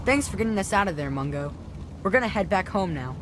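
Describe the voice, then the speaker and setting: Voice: mid-range voice, animated, fast, background noise, close to an everyday voice. An actor voicing a cocky, streetwise kid captive. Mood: wary, cocky. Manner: blunt, slangy, cocky. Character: streetwise kid escaping slaver captivity, bossy and wary. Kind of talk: video game character acting.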